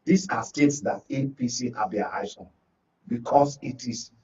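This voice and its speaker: A man's voice: mid-range voice, unhurried, dark tone. A Nigerian man speaking as a political analyst in a discussion, emphatic and often checking that listeners follow. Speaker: guest political analyst on a talk show. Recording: muffled, distant-sounding studio talk.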